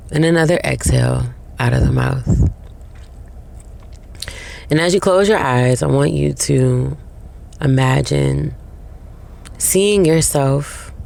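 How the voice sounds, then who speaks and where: A woman leading a guided meditation in a slow, soothing way, with long pauses between short phrases. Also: a bass voice, creaky, warm tone.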